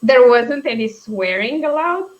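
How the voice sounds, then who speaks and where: A young woman, co-hosting a casual chat, talking quickly with frequent laughs and asides. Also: a little high, animated, lo-fi sound. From Romania. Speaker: co-host.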